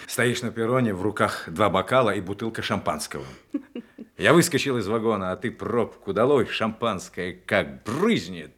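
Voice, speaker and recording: War veteran father, middle-aged, very low voice, tender, weary, Soviet radio drama. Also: animated, normal speed, a bit bright, breathy edge. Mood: warm, reminiscing. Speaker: actor.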